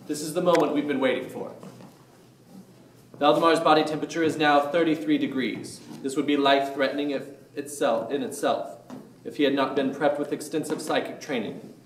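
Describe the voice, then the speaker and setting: The sound is echoey and set back from the microphone. It is an actor as a male doctor with a showman's streak in a stage play. He explains things formally, with clinical assurance, in a medium-pitched voice. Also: slight background noise, close to an everyday voice. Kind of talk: theatrical demonstration in a staged play reading. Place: indoor room, staged reading recorded from afar. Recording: echoey room, distant mic.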